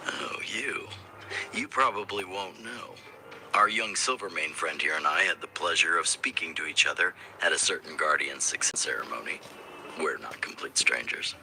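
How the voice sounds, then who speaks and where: A very deep, gruff older chief. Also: male voice, animated, normal speed, bright, forceful tone, slight background noise, full character voice, poor audio. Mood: wry, welcoming. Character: older male clinic-based chief, gruff but hospitable. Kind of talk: video game voice acting.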